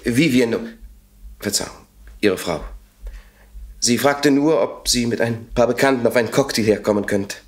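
An actor playing a suave lover at his rival's mercy in a German radio thriller, husky, urbane and sardonic. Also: medium pitch, moderate pace, long pauses, close to an everyday voice. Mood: smooth, civil.

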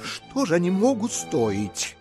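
An actor playing a scheming, smooth-talking gentleman swindler in a classic audio drama, insistent, wheedling and easily exasperated. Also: high voice, huge pitch swings, slow pace, faint music behind, full character voice. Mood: impatient, reasoning. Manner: insistent, wheedling, theatrically emphatic.

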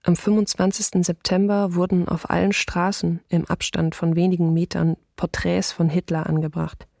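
Restrained, even-voiced female eyewitness. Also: fairly low pitch, moderate pace, gravelly, no room sound, clean studio sound. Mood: sombre, restrained. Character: sombre female eyewitness of wartime occupation recalling events. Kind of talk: restrained documentary radio play monologue.

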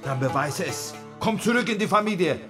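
Stern turkish dad, middle aged, bit high voice, admonishing n emotional, music behind, radio play. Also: male voice, expressive, a little fast. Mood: angry, stern. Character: stern, pleading Turkish father torn by family duty.

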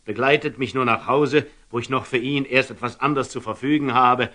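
An actor playing a wise, cautious fatherly merchant in a classical German radio drama, speaking gently and deliberately in standard German. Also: medium pitch, steady tone, close to an everyday voice. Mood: calm, urging.

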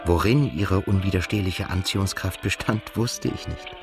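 A brooding writer telling his story in a radio play, deep voice, measured, faint music behind. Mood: introspective, melancholy. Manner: measured, literary, introspective. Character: reflective young male writer, melancholy narrator in love. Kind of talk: radio drama narration and dialogue.

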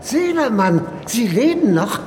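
An actor playing a frightened, hard-of-hearing old man in a radio play, talkative, loud and plaintive. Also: extremely high pitch, big pitch swings, unhurried, a little hiss or hum, heavily acted. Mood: excited, relieved. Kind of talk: German radio drama acting.

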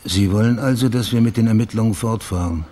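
An actor playing a calm private investigator in a radio crime drama, terse, polite and inquisitive. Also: male voice, fairly low pitch, fast talker, close to an everyday voice. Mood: probing.

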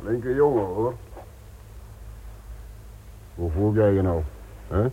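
A man's voice: fairly low pitch, takes it slowly, husky. An actor playing a loyal, deferential village man in a radio drama, talking in a placating way. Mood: familiar, concerned. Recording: muffled, phone-like old radio recording.

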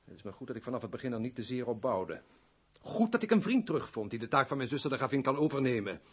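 Husky, courtly gentleman officer. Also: mid-range voice, big pitch swings, quick pace. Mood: insistent, piqued. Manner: formal, curt. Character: impatient, lovesick gentleman major, curt and courtly. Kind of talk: radio play acting.

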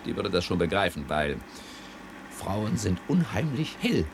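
Casting: smooth-talking, grandiose broker, very animated intonation, seventies radio drama, slight background noise. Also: male voice, deep voice, measured pace, full character voice. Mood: persuasive, cocky. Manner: grandiose, persuasive, patronising. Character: slick, cocky male commodities broker talking up quick riches. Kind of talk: 1970s German radio play acting.

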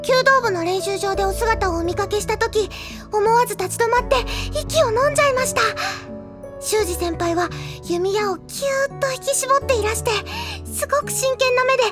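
A woman's voice: very high-pitched, moderate pace. An actress playing a bubbly, earnest, lovestruck teenage schoolgirl in an audio drama, gushing politely and childishly. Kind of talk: anime-style audio drama acting. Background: background music.

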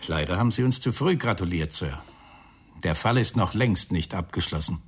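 An actor playing a composed, dogged police inspector in a radio crime drama, speaking politely in measured phrases. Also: male voice, sits low, lively intonation. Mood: matter-of-fact. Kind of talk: 1990 German radio crime drama acting. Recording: radio play with clear background noise.

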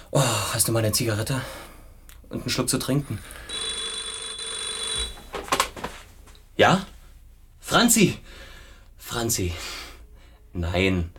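A husky-voiced ex-prisoner in a radio drama, with an ordinary middle pitch. He is agitated and sardonic, repeating himself restlessly. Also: fairly bright tone, exaggerated voice. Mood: anxious. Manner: restless, repetitive, erratic between outburst and mutter. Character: agitated, bitter, sardonic freed male political prisoner.

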